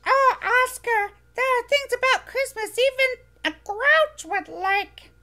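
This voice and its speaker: A woman's voice: very high voice, slow pace, sharp tone, pitched above the performer's own voice, heavily acted. A woman voicing a cheerful little monster boy who talks about himself in the third person, from a storybook reading. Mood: excited.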